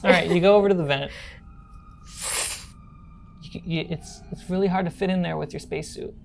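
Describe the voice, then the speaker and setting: Woman GMing a tabletop rpg, deep-ish voice, music playing behind her. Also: fairly even intonation, brisk, gravelly, a little mellow. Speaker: game master. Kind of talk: narrating a tabletop role-playing game.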